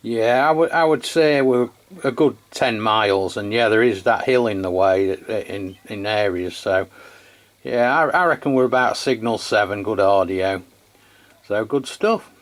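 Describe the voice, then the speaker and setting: A man with an English accent and a fairly low voice talks in a casual, chatty way. He sounds like a radio hobbyist having an informal two-way conversation, plain and matter-of-fact. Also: a little slow. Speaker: CB radio hobbyist filming a radio test.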